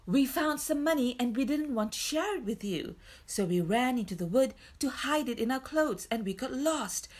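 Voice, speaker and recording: A woman's voice: high-pitched, pitched above the performer's own voice. A woman voicing an earnest, plaintive young girl in a children's storybook.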